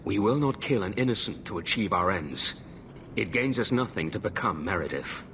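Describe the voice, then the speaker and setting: A man's voice: fairly low pitch, expressive, normal speed, fairly bright tone, slight background noise. A man playing a principled, weary leader of conspirators in a fantasy game, earnest and firm. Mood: urgent, principled. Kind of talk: fantasy video game acting.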